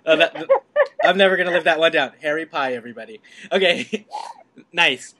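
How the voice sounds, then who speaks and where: A man's voice: fairly high pitch, a little fast, bright, forceful tone. A man hosting an interview, asking long rambling questions in a chatty way and laughing easily. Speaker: host. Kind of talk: casual interview chat.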